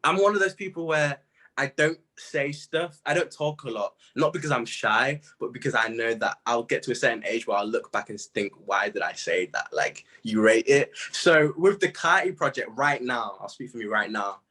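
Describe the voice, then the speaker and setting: Husky, bright-voiced young man chatting casually. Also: medium pitch, normal speed. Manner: animated slangy storytelling, quoting own reactions. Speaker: interview guest, music artist. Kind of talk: casual chat.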